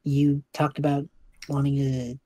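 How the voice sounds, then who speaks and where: A man's voice: medium pitch, completely flat delivery, unhurried, mellow, a little hiss or hum, natural voice. A man playing an easygoing half-elf student in his early twenties in an improvised story podcast, casual, good-natured and a little hesitant. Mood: casual, thoughtful.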